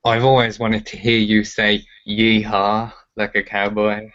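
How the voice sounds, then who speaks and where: Low-voiced man rambling as a guest. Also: little pitch movement, a little slow, a bit bright, muffled recording. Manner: rambling, fills with "like" and "uh". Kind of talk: casual interview chat.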